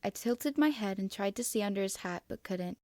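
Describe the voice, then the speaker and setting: A woman reading fan fiction aloud as expressive first-person narration. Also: mid-range voice, fairly quick, no echo, studio quality.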